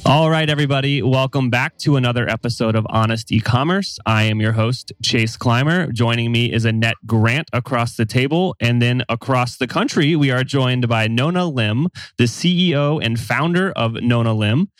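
Brief: male podcast host, mid pitch, dry close recording, relaxed, filler-heavy. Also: moderate pace, few pauses, dry recording. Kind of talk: casual podcast hosting.

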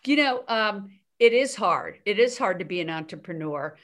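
A woman's voice: medium pitch, lively intonation, moderate pace. A woman hosting a podcast interview, talking in an upbeat, enthusiastic way and cutting in to stress her guest's points. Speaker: podcast host. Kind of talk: casual interview chat.

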